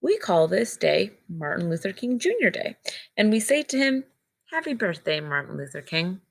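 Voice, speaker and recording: Woman with creaky voice reading to children. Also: medium pitch, big pitch swings, moderate pace. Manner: simple, child-directed explaining with short phrases. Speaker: teacher reading a picture book aloud to children. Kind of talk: read-aloud storytelling with simple explanatory asides.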